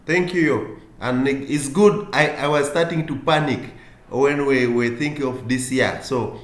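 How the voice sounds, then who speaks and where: A man's voice: medium pitch, a little slow. A middle-aged man chairing an online meeting in a formal, courteous way from a small office. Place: small office indoors, on a video call. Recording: echoey room on video call.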